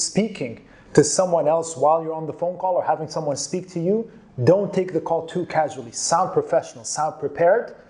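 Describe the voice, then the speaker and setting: A man's voice: a little high, warm tone. A man presenting career advice in an emphatic, instructive manner, stressing and repeating key points. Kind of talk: presenting instructional tips to camera.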